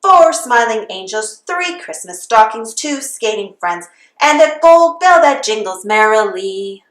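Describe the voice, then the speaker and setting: A woman with a high voice delivers a children's read-aloud in the manner of a Christmas carol. She takes it slowly and steadily. The room adds a clear echo around her voice. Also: animated, fairly bright tone. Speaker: storybook reader.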